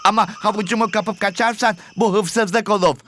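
A man's voice: high voice, steady tone, normal speed, fairly bright tone, a little hiss or hum. A man voicing a patient rabbit pupil in an educational cartoon, earnest and reasoning. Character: patient rabbit pupil who loves carrots.

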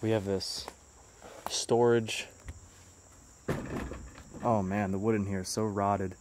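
A young man filming himself as he explores a ruined town, narrating what he finds in a curious, hushed way. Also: on the low side, flat delivery, slow pace, background noise. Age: young adult. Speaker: urban explorer vlogger. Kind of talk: walking exploration commentary. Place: outdoors and inside ruined buildings. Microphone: handheld camera held at arm's length.

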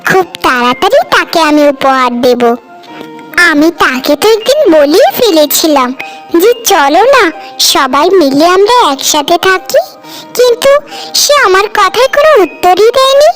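Caring village housewife played by a woman, gentle and very high voice, cartoon drama, music underneath. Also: lively intonation, moderate pace. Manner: gentle, sympathetic. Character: kind, caring elder wife of a village household. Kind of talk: Bengali cartoon family drama acting. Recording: music behind the voice.

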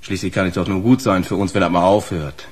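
An actor playing a sheepish, defensive male trucker informant with a husky voice and blunt colloquial talk in a radio crime drama. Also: low-pitched, steady tone, fast talker. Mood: sheepish, confiding.

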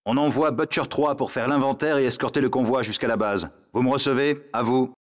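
Stern military radio controller, medium pitch, formal, measured, authoritative, video game. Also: male voice, fairly even intonation. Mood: stern, businesslike. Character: stern male command radio operator. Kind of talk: scripted military radio chatter.

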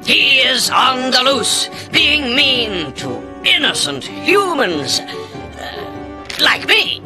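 A dim-witted video game monster pretends to be a human newsreader. The delivery is very slow and halting, with broken grammar and wildly swinging pitch, over music. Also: big pitch swings, exaggerated voice, poor audio. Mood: feigned earnest alarm. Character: dim monster posing as a human news reporter. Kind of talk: mock news bulletin in a video game.

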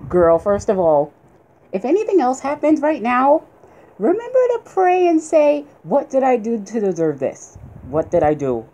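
A woman's voice: on the high side, huge pitch swings, moderate pace, mellow. A woman chatting casually and emphatically, repeating phrases for effect, recorded on a phone in a small bedroom. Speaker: interviewer behind the camera. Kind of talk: casual chat. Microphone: phone camera held by the speaker. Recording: noisy handheld home phone video. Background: clear background noise.

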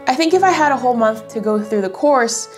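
A young woman in her twenties chats her way through a casual home vlog, pitched a touch high. She is self-deprecating and relaxed. Music plays softly beneath her voice. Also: fast. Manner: chatty and self-deprecating, laughs at her own slips. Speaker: vlogger. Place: small home room with plants. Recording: music bed under the voice.

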